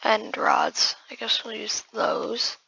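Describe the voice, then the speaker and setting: A hoarse woman who has just woken up, giving casual gameplay commentary while building and often muttering in half-whispers to herself. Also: a little high, completely flat delivery, slow pace, pushed and bright, lo-fi sound, a little hiss or hum. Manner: muttering half-whispered asides to self, addressing viewers as guys. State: hoarse, losing voice after just waking up. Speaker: gaming video creator.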